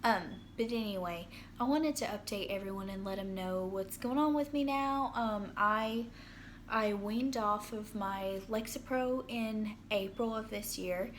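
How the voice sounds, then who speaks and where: A young woman with a hoarse voice from being sick, talking casually into her phone in a rambling personal update with many ums and restarts. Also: mid-range voice, unhurried, slight background noise. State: hoarse from illness. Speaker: vlogger. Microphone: phone propped up.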